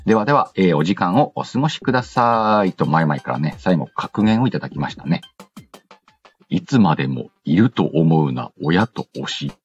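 Male host, deep voice, animated delivery, playful slangy chat, frequent asides to himself, slightly dull lo-fi recording. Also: moderate pace. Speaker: radio show host. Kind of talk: casual chat, reading listener letters. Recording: dull lo-fi sound with music behind. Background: background music.

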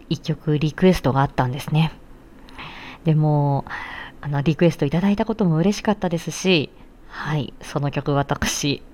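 A woman's voice: sits low, a little mellow. A woman hosting a casual audio live stream, chatty and self-deprecating in a giggly way. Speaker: host of an audio live stream, amateur singer.

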